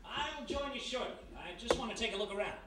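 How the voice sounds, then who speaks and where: A man's voice: very high voice, expressive, quick pace. A man with an American accent playing a wisecracking private detective in a radio mystery, breezy and slangy. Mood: concerned. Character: wisecracking, smooth-talking male private detective, former thief. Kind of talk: live 1940s-style radio mystery acting. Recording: noisy echoey hall, distant stage mic.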